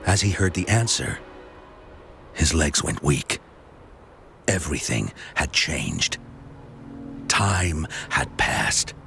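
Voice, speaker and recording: An actor playing a theatrical storyteller in a video game, spinning legends with measured, mysterious drama. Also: male voice, very deep voice, vocal fry, fairly bright tone, full character voice. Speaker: storyteller character.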